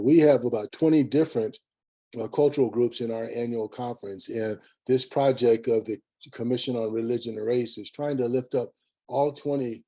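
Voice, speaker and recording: Halting male webinar moderator, mellow voice. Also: medium pitch. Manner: halting, measured. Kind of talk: unscripted hosting.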